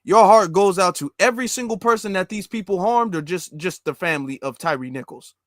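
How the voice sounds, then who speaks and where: Man, American accent, higher-pitched voice, impassioned show host, emphatic repetition, rhetorical questions, dry sound. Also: fairly quick, no room sound. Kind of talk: impassioned political commentary to viewers.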